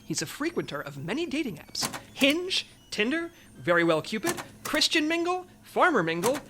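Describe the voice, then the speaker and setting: A woman's voice: high voice, sing-song, moderate pace, fairly bright tone, slight background noise. A woman playing a businesslike, patient intelligence section chief giving a briefing in a comedy audio drama, authoritative and diplomatic.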